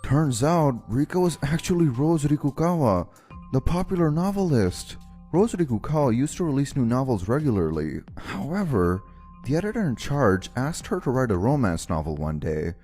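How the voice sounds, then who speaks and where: A man's voice: mid-range voice, big pitch swings, moderate pace, dark tone, close to an everyday voice. A man playing an anxious, considerate young man in an anime-style romance dub, speaking earnestly and expressively with some hesitation. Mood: reflective narration. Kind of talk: anime-style dub acting and first-person narration. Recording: music bed behind the voice.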